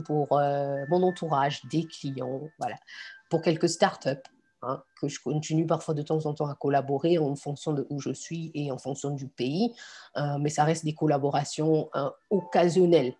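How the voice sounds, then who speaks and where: Husky-voiced woman coaching online. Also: low-pitched, moderate pace, dark tone, soft background music. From Congo and France. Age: adult. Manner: encouraging, addresses the learner directly. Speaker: online course instructor and coach. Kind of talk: informal online lesson to students.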